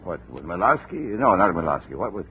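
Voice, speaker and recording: A gruff, excitable boxing manager with a very low voice. He rambles and blusters in vintage radio drama style. The sound is dull and hissy, like an old broadcast. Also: fast talker. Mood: grumbling, rambling. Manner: blustery, rambling, jumps from shouting to muttering. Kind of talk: 1950s radio detective drama acting. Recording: muffled, noisy 1950 radio recording.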